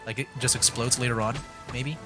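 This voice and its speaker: A man streaming games with relaxed, informal, casual commentary. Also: mid-range voice, a little fast. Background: game music.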